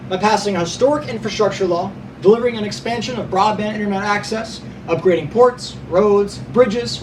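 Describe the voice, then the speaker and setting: A young male podcast host reading prepared news notes, sometimes stumbling and correcting himself, with casual asides to listeners. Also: sits high. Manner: reads from notes, stumbles and corrects himself, stresses being unbiased. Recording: distant mic with clear background noise.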